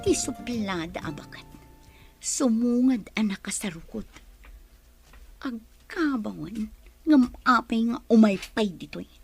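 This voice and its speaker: A woman's voice: on the high side, animated, slow pace, creaky, pauses often, faint music behind, heavily acted. A woman playing a sassy, easily insulted employee in an audio drama, exclaiming and muttering asides under her breath. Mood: grumbling. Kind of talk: Filipino audio drama acting.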